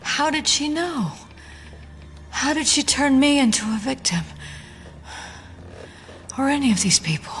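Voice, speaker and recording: A woman's voice: sits high, animated, unhurried, pauses often. An actress playing a shaken but defiant female FBI agent in an audio drama, serious and hesitant. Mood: shaken, subdued. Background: dramatic music.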